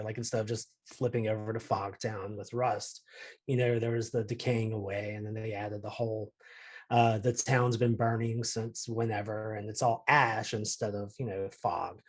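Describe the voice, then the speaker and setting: A man's voice: mid-range voice, darkish tone, husky. A man giving emphatic opinions in a casual chat, conversational and free with swearing. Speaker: podcast panelist discussing films and games.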